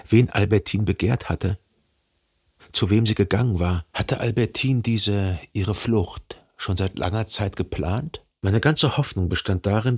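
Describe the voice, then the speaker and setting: An actor playing a brooding, obsessive jilted lover who narrates his thoughts in an introspective, deliberate way in a literary radio drama. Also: on the low side, darkish tone, breathy edge. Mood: anxious brooding. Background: music.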